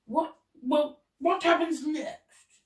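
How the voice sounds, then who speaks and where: A woman's voice: sits high, slow pace, pitched above the performer's own voice. Woman as a blustering, bellowing old male Duke. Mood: gruffly curious. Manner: bellowing, pompous, indignant upper-class exclamations. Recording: roomy echo, distant from the mic.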